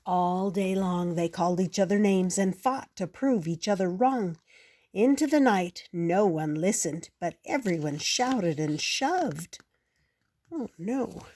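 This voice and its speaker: An older woman reading a picture book aloud as the regular storyteller, slow, gentle and expressive. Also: lowish voice, dry recording.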